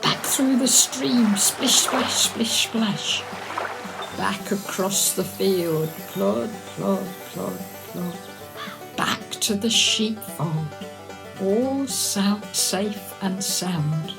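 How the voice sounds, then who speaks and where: A woman reads to young children at a very unhurried pace. Her delivery is expressive and deliberate, with a sing-song storyteller's lilt. Music plays clearly along with her voice. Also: medium pitch, low-quality recording. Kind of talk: animated chanted story reading for young children.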